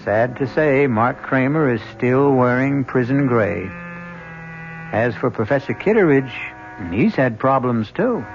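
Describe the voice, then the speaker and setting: A man hosting and narrating a radio drama in a theatrical, wry style with deliberate phrasing. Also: mid-range voice, animated, background noise. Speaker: host and narrator. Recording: muffled old radio broadcast. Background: prominent music.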